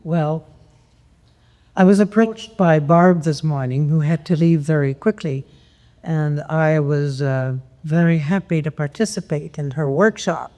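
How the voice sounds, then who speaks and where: A woman from Canada chattily reporting on a workshop at a conference, with a loud, enthusiastic voice. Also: deep voice, a little slow. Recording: long hall reverb.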